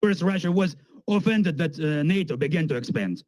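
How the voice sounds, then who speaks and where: Eastern european guy, slightly high voice, halting english, serious political talk, dry sound. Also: animated, darkish tone, no echo. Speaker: prank caller posing as a statesman. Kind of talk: political conversation in non-native English.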